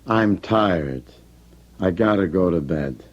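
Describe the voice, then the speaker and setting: Muffled old radio recording, rattled American husband. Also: male voice, low-pitched, animated, takes it slowly, loud music behind the voice. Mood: weary, sullen. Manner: blunt, agitated, colloquial. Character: haunted, defensive working-class machinist husband, rattled and weary. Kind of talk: old-time radio drama acting.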